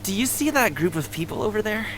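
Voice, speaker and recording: A man's voice: high voice, sing-song, quick pace, very noisy. An actor voicing a friendly, attentive young man with a casual, earnest, boyish manner in an anime-style game. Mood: uneasy. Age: young adult. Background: game music.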